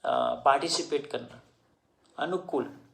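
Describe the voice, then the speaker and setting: Slow gravelly man giving a devotional talk. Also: mid-range voice, low-quality recording. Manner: slow, explanatory, mixes English words, quotes verses. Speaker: devotional speaker. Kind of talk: Hindi religious discourse with scripture quotes.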